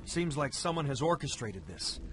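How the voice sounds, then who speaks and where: Guy playing a level-headed superhero in a game, average pitch, dry and wry, music blaring behind. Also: big pitch swings, moderate pace, natural voice. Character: level-headed, wry male costumed crime fighter. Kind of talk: video game voice acting.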